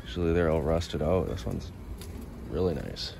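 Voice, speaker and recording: A man's voice: a bass voice, normal speed, vocal fry. A man giving casual, clipped commentary on cars as he films them outdoors on a handheld phone. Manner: terse, clipped remarks. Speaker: car auction vlogger. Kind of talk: casual car walkaround commentary. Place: outdoor car lot. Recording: noisy outdoor recording, ambient vehicle sounds.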